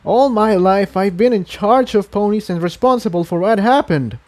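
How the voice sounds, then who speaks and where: A man's voice: high-pitched, normal speed, darkish tone, pitched above the performer's own voice, lightly acted. A man voicing an anxious, self-doubting female pony in a storybook reading, speaking earnestly and fretfully. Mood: anxious, apologetic.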